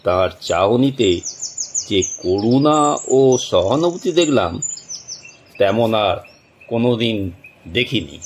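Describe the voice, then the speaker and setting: A man narrating a suspense story from a script in a dramatic, deliberate way, with long pauses. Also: fairly low pitch, very animated, slight background noise.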